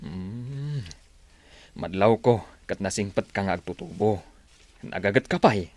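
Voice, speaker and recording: A man's voice: on the low side, animated, measured pace, darkish tone, no room sound. A man playing a friendly, fatherly older factory worker in a radio drama, with an Ilocano accent. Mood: fatherly, approving.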